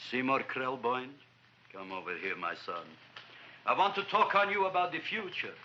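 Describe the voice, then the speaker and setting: An actor playing an excitable, money-minded flower shop owner with a Yiddish Eastern European accent, theatrical and blustering in an old comedy film. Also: male voice, sits high, fast. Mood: grandiose, delighted. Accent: Yiddish-inflected Eastern European. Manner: theatrical, blustering, mangled syntax. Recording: dull, faintly noisy old film soundtrack.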